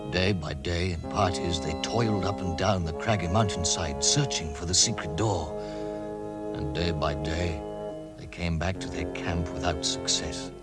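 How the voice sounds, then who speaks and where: A man's voice: low-pitched, natural voice. A man narrating a fantasy quest as a solemn storyteller in a scripted radio drama. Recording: narration under loud dramatic music. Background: loud music.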